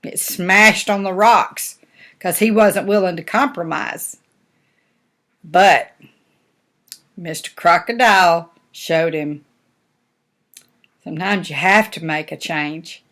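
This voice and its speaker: Slow-talking older grandmotherly woman storyteller. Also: lowish voice, fairly bright tone. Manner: folksy, simple explanations for young children. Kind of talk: storybook read-aloud with chatty asides to children.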